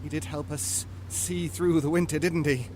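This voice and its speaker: An actor playing a polite, fretful explorer sidekick turned hungry cannibal in an audio drama. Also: male voice, on the high side, fairly even intonation, moderate pace, noisy background, close to an everyday voice. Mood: mildly morbid. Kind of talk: theatrical audio drama acting.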